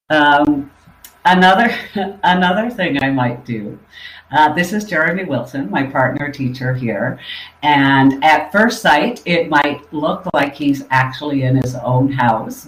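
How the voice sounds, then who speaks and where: Man, ESL teacher, webinar talk, calm explaining, mid-pitched voice, room echo. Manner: measured, explanatory, practical tone. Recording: roomy echo.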